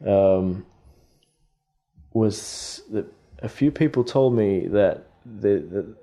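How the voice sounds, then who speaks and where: Thoughtful Australian man, low mellow voice. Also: very slow. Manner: hesitant, many fillers and restarts. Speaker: guest artist. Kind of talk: reflective podcast conversation.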